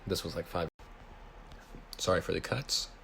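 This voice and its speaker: American guy with a low voice reading his story out loud, slight background noise. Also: unhurried, creaky. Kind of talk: reading own written story aloud. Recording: noisy home recording.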